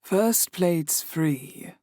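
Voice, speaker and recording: A man voicing a silver-haired older woman innkeeper in an audiobook, amused and mysterious, with a slow, hushed, purring delivery. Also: fairly high pitch, animated, no room sound, studio quality, voice pushed up. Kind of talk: audiobook character voice.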